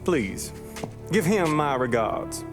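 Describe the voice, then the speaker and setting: An actor playing a genial, excitable country bootlegger with a folksy Southern US accent in a game cutscene. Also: male voice, fairly high pitch, slow pace. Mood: friendly. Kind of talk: dramatic game cutscene acting. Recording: music behind the voice.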